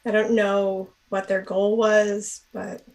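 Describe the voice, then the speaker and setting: A woman's voice: medium pitch, little pitch movement, slow, a loud crowd, poor audio. A woman in casual conversation as a tabletop game player.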